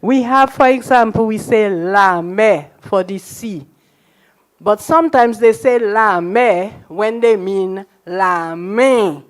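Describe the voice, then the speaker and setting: An older woman addresses a lecture audience without a script. She speaks slowly at a middling pitch, in a measured, emphatic and encouraging way. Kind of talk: unscripted public address.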